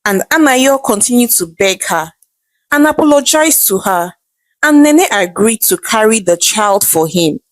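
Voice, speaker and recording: A Nigerian woman narrates a tale as its host. Her voice is fairly high and very animated, in a dramatic storytelling style, recorded dry and close. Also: a little slow, no echo.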